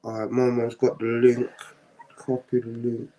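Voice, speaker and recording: A man's voice: mid-range voice, measured pace, muffled recording, slight background noise. A man hosting a live-streamed interview, chatting casually and often tagging his questions with slang. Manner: relaxed, uses slang tags.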